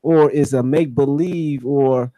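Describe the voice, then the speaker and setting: A man's voice: mid-range voice, steady tone, unhurried, mellow, no room sound. An American man hosting a casual radio interview, talking in slangy, hyped-up phrases with lots of repetition. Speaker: radio show host.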